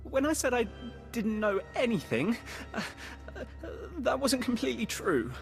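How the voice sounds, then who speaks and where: A man's voice: very high-pitched, sing-song, moderate pace, creaky, full character voice. An actor playing a nervous, pleading male computer technician in a video game, anxious and hesitant. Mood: frightened, pleading. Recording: hall reverb, music behind.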